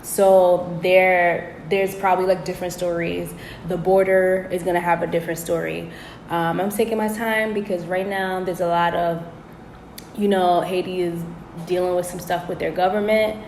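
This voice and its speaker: A woman hosting a casual interview, talking in a chatty, animated way with lots of "like". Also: on the low side, moderate pace, creaky, reverberant, slight background noise, rough recording. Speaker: host. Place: indoors in the Dominican Republic.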